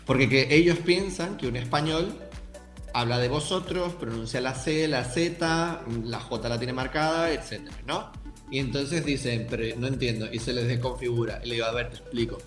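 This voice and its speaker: Young Canarian male author, mid-pitched, thoughtful interview guest, roomy sound. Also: moderate pace, soft background music. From Canary Islands, Tenerife. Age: young adult. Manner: thoughtful, addresses listeners directly. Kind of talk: reflective interview answers.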